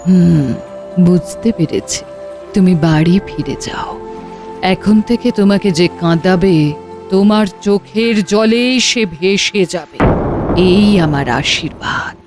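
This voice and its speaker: A woman voicing a kindly water goddess in a cartoon, speaking slowly and solemnly, with music playing behind her. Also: fairly low pitch.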